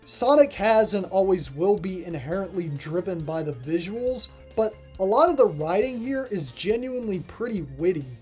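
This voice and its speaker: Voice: mid-range voice, lively intonation, moderate pace, few pauses, darkish tone, breathy edge, soft background music. A reviewer narrating a scripted video review in a voice-over.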